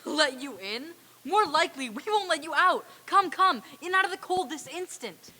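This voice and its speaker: A woman playing a flustered, effusive, good-hearted gentleman in a theatrical old-time radio drama reading. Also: sits high, expressive, moderate pace. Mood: welcoming delight. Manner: gushing, exclamatory. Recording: reverberant hall stage recording.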